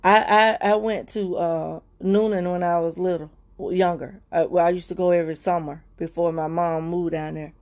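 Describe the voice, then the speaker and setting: A woman hosting a livestream, sharing a heartfelt personal testimony with viewers in a repetitive, preacher-like cadence, often slowing down and dropping to soft murmurs. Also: fairly low pitch, fairly even intonation. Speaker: livestream host.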